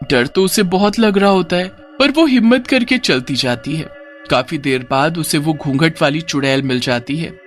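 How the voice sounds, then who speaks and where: A male storyteller delivers scripted, dramatic narration in a fairly high voice. His pitch moves widely from phrase to phrase, and music plays underneath. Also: a bit bright.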